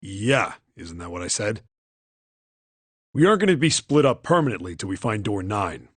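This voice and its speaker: An actor voicing a big, gruff older man in a video game, blunt and teasing, with a rough, husky voice. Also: fairly low pitch, very animated, normal speed, dry recording. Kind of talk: English video game voice acting.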